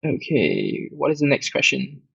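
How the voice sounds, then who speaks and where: A young man with a Singaporean accent, hosting a casual late-night Q&A, reading questions aloud and answering in a relaxed, rambling way with plenty of fillers. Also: medium pitch, measured pace, creaky, slightly rough, no echo. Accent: Singaporean English. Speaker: app community host answering questions. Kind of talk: casual livestream Q&A. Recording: dull lo-fi dry audio.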